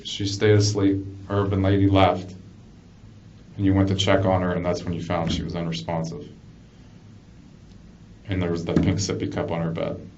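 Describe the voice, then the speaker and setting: Low, flat-voiced male detective questioning. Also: recorded from a distance. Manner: blunt, persistent questioning with repeated phrases. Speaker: police detective. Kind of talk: police interrogation. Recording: muffled lo-fi interview recording, clear background noise.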